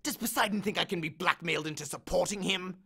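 A man's voice: sits high, quick pace, creaky, sharp tone, breathy edge, full character voice. Man voicing Hades, a bellowing god of the dead. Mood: menacing. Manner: bellowing, complaining, menacing. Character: angry male god of the dead, grand and aggrieved. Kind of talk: audiobook character voice.

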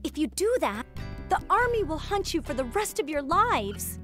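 A young, guarded villager in a game cutscene. Her voice is high and expressive, hesitant and worried, with music underneath. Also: normal speed. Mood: worried, conceding. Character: anxious, conscientious young townswoman, guarded but good-hearted. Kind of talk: video game voice acting.

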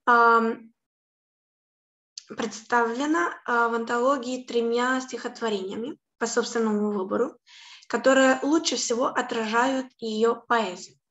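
A young woman gives an online lecture on a video call from a room at home. Her voice sits a little high, and her measured delivery is broken by hesitant fillers and self-corrections. The webcam audio picks up a noticeable room echo. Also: dull audio. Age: young adult. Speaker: lecturer on Slavic poetry. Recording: echoey room.

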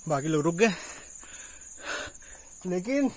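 A man's voice: high voice, sing-song, slow, a little mellow, husky. Chatty young man vlogging on a hike. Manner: chatty, thinking aloud, mixes in English phrases. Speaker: travel vlogger. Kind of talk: casual vlog commentary while hiking. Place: outdoors on a green hillside and at rock caves. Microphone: phone camera held at arm's length.

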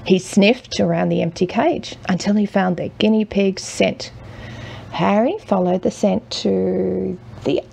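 A woman reading a children's picture book aloud as a mother would, expressively and with dramatic pauses. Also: mid-range voice, creaky, breathy edge. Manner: measured, with dramatic pauses and hushed drawn-out words. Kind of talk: expressive children's storybook narration.

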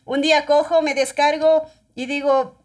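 A young woman with an Ecuadorian Spanish accent, a guest in a studio podcast interview, explaining in an animated, chatty way and checking in with her listener. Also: high voice, normal speed. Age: young adult. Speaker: guest, TikTok creator. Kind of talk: casual interview talk. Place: small podcast studio, indoors. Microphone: studio condenser mic on stand.

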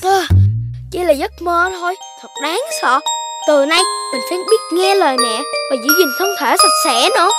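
A performer voicing an excitable, imaginative little boy puppy in a children's cartoon, exclamatory and dramatic. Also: extremely high pitch, normal speed. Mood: casual. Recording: music under the voice.

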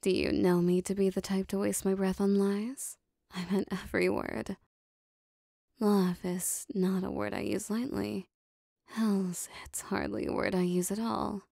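Casting: actress as possessive, adoring demoness for intimate roleplay audio. Soft, warm dark tone, very close dry recording, formal diction. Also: female voice, medium pitch, flat delivery, dry recording. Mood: yearning devotion. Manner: soft, breathy, formal archaic phrasing, lingering pauses. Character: lovestruck possessive female demon bound to a sorcerer.

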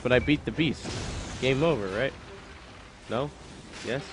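A man's voice: medium pitch, unhurried, noisy background. A man from Pennsylvania giving casual, joking let's play commentary with sarcastic asides. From Pennsylvania, USA.

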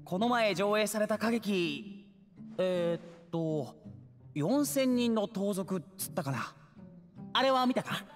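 Easygoing, lively-pitched teenage lad. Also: male voice, slow, pauses often, faint music behind, full character voice. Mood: friendly. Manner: casual, rough boyish phrasing. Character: easygoing, friendly teenage lad, casual and curious. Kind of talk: Japanese anime game acting.

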